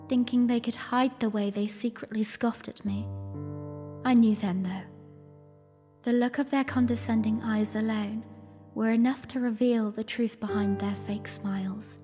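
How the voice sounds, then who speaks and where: Reverberant, mellow young princess played by a woman. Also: on the high side. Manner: formal, reflective storytelling. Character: young princess, earnest, weary, quietly defiant narrator. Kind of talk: first-person audio drama narration. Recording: long reverb, music underneath. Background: music.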